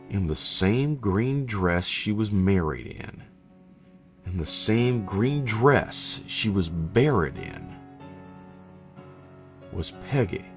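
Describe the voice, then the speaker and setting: A man reading a first-person horror story in a deliberate, theatrical way with dramatic pauses. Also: on the low side, fairly bright tone. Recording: narration over background music. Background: eerie background music.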